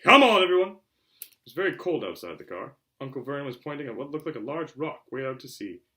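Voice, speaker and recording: A man reading a storybook aloud as a narrator, in an expressive, theatrical style. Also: mid-range voice, fast. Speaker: audiobook reader.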